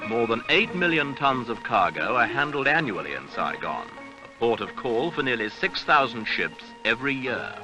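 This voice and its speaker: A man reading a scripted documentary newsreel narration in a measured, grave, rhetorical manner, on an archival seventies soundtrack. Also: mid-range voice, very animated, over music, low-quality recording.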